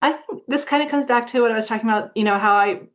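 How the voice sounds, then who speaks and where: A woman's voice: a little high, fast, muffled recording. A middle-aged woman talking casually and candidly as a podcast guest, in a reflective way. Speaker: interview guest, fan fiction author.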